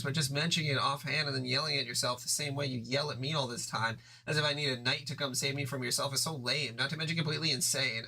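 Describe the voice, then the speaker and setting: A man's voice: on the high side, bright, forceful tone, breathy edge, rough recording. A man voicing an exasperated young girl who scolds sharply in a raised voice.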